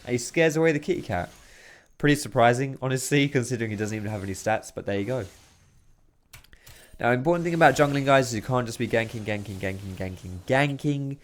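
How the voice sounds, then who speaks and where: A man's voice, a little hoarse and worn from talking, narrates game footage in a quick, excitable play-by-play. He sits at a home desk mic, reacting to each big moment with loose, slangy enthusiasm. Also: medium pitch. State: hoarse, losing his voice. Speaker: video host. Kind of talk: gameplay commentary.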